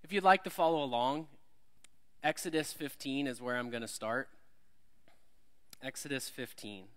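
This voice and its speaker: Male guest preacher, mid-range voice, self-deprecating and warm in delivery, builds up when reading verses. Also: mid-range voice, moderate pace. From Alabama. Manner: friendly, self-deprecating, rises for emphasis when reading scripture. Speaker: visiting preacher. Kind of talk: sermon. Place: church sanctuary.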